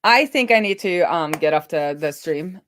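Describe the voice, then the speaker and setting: Low-pitched woman thinking aloud while coding. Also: lively intonation, moderate pace, dry recording. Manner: informal, thinks out loud while working. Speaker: live-streaming web developer and host.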